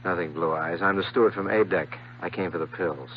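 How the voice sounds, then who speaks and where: An American actor playing a breezy, flirtatious, slangy drifter working as a steward in a 1950s radio drama. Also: male voice, low voice, a little fast, a little hiss or hum. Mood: flirtatious, cocky. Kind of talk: 1950s radio drama acting, hardboiled first-person narration. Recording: dull old 1950s radio recording with music.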